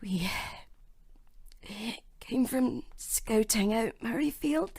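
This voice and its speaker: A woman playing a badly beaten, weak scout in an audio drama, struggling to speak in a husky, broken voice. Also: on the high side, animated, very slow, dark tone, no echo, heavily acted. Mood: pained, weak.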